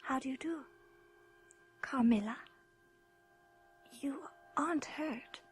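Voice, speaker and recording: Earnest young lady in old gothic radio drama, high gentle voice, bit of music under it. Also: slow pace. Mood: wistful, gentle. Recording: 1980s radio drama, faint music behind.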